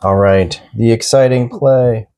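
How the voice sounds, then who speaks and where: A man talks through his turns in a tabletop game, relaxed and chatty. His voice has a gravelly fry and a warm, dark tone. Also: medium pitch, unhurried. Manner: relaxed, chatty about his own plays. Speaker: co-host, opponent player. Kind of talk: casual tabletop game talk.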